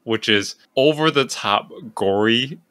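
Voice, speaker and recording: Male podcast host, Long Island accent, playful and quick to laugh, crisp bright tone. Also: medium pitch, no room sound, clean studio sound. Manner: joking, playful, laughs often. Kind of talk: casual chat.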